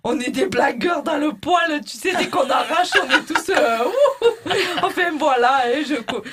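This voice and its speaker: A woman's voice: on the high side, animated, measured pace, background noise. A woman playing a chatty, bawdy beautician in a mock interview, rambling with fillers and cheeky jokes. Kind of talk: comedy sketch, mock interview.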